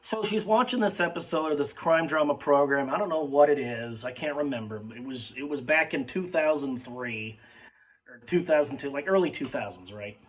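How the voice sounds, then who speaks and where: Hoarse rambling man, a bit off-mic. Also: a little high, normal speed, recorded from a distance, low-quality recording. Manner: rambling, sidetracked, swears casually, addresses folks. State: hoarse, voice cracking. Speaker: sports fan vlogger. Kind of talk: rambling casual monologue.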